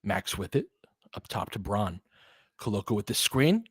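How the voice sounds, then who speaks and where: A man calling basketball play-by-play with quick, excitable calls and chatty asides, on a close mic at home. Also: lowish voice, slightly rough, no echo. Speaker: play-by-play commentator. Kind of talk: fan-made basketball play-by-play commentary.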